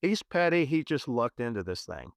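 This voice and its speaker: A man discussing films conversationally as a podcast host, in a halting, self-correcting way. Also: mid-range voice, sing-song, no echo, clean studio sound. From moved to Zambia at eleven, schooled in Kenya.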